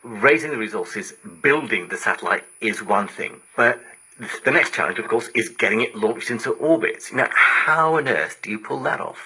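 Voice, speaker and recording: Male radio interviewer, lowish voice with some rasp, engaged and informal, shortwave broadcast sound. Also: lively intonation, normal speed, pushed and bright, rough recording. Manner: engaged, prompting with informal phrasing. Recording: slightly rough digital shortwave radio broadcast.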